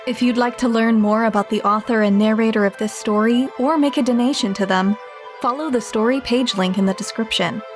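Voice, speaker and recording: A woman delivering the scripted intro and outro of an audiobook podcast in a clear, announcer-like way. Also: a little high, a little fast, faint music behind. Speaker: podcast host.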